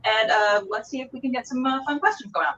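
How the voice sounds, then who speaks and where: A woman hosts a casual livestream interview with effusive exclamations. Her voice is high and bright, and it comes through dull and a little far from the mic. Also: fast, a little hiss or hum. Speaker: interview show host. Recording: dull, slightly distant sound.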